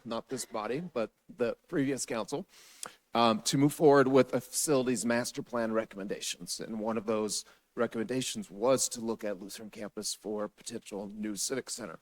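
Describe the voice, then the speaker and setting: A man with a hoarse, raspy voice giving a steady, businesslike staff briefing to a city council in council chambers. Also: lowish voice, fairly quick.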